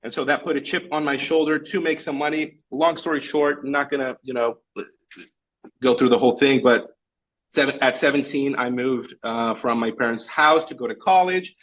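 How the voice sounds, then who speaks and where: A man's voice: mid-range voice, fairly even intonation, moderate pace, fairly bright tone, rough recording. A Brazilian man whose first language is Portuguese, talking earnestly and plainly in English on a casual video call and often correcting himself. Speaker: guest presenting a sales case.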